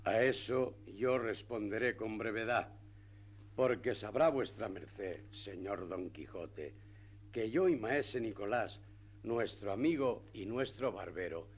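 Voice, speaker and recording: An actor playing a sly, learned village priest in a radio play, speaking with measured, rhetorical emphasis. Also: male voice, mid-range voice. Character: sly, learned male village priest, feigning righteous indignation. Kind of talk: classic radio theatre acting.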